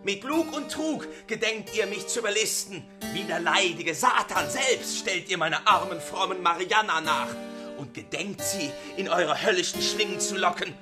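High-pitched furious old man, acted by a man. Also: animated, normal speed, over music. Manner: ranting, grim, theatrical. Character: furious jealous old miser, cursing and threatening.

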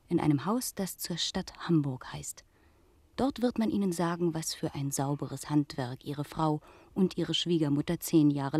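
An actress playing a proud, vengeful French noblewoman in a German radio play, husky and breathy, with a haughty, commanding manner. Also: lowish voice, mellow, dry recording.

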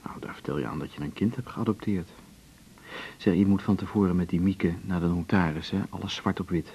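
An actor playing a calm, practical, supportive husband in a radio drama, measured and considerate. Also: male voice, low voice. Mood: practical, caring. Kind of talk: naturalistic radio drama conversation. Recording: muffled, noisy radio recording.

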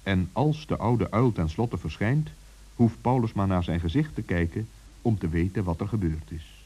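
A man narrating a scripted children's story in a measured, expressive way. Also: low-pitched, breathy edge.

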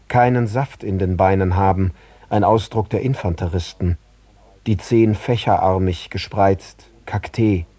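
Mellow, dry-miked sober narrator. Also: male voice, lowish voice, no echo. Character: male narrator, sober observer recalling scenes in detail. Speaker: narrator in radio play. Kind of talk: literary radio narration.

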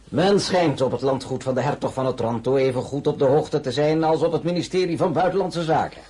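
An actor playing a shrewd, polished excellency in a period radio drama, formal and pointed, with a husky voice. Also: male voice, on the high side, fairly quick, warm tone. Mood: probing, sly.

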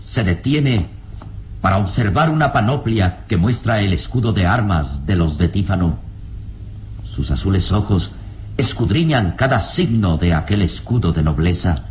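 A man's voice: low voice, animated, a little slow, darkish tone, slight background noise. A man narrating an old-time Spanish-language radio serial in a solemn, suspenseful, scripted style.

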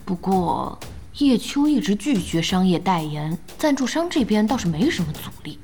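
An actress playing an excitable young female gaming fan in an audio drama, expressive and exclamatory. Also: medium pitch, moderate pace. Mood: thoughtful. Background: background music.

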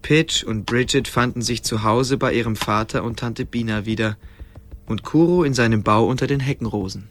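A man's voice: mid-range voice, normal speed. A man narrating a radio drama as a scripted, dramatic storyteller. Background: faint music.

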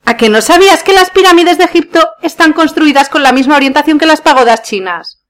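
A woman's voice: high-pitched, animated, a little fast. A woman from Spain acting a scornful, sardonic wife in a radio play farce, with a cutting manner and a Spanish accent. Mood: dismissive.

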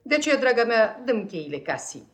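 An actress playing a severe, sinister, controlling sister-in-law in a radio play, speaking in a curt, haughty, condescending way. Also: female voice, fairly high pitch, sing-song. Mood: condescending, commanding. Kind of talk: 1950s radio theatre acting.

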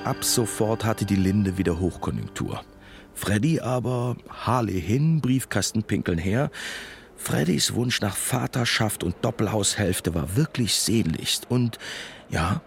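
Low male voice as a wry middle-aged storyteller, radio drama, music behind. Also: expressive, a little slow, gravelly. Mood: rueful, dry. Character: man looking back wryly on his village youth. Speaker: first-person narrator. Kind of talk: scripted radio drama narration.

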